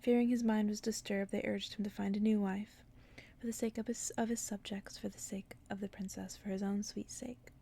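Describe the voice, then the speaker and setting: A woman speaking in a soft, hushed whisper in the style of an ASMR bedtime reading. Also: medium pitch, little pitch movement, normal speed, gravelly, husky. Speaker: ASMR creator reading stories to listeners.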